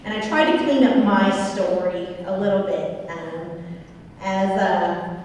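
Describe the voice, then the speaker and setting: A woman's voice: medium pitch, steady tone, a little slow, a little mellow. A woman in her forties from Iowa gives a prepared speech at a memorial ceremony, reading her remarks with frequent small restarts and self-corrections. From Iowa, United States. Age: 40s. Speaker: veteran speaker at a ceremony. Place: large university event hall. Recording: echoey hall from far off, faint noise.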